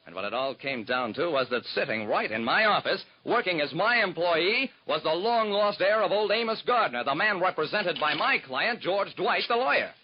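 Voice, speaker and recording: A man's voice: high-pitched, lively intonation, moderate pace, hardly any pauses. An actor playing a private detective who narrates his own cases in wry, hard-boiled first person, in 1940s radio drama style, on a dull lo-fi old recording.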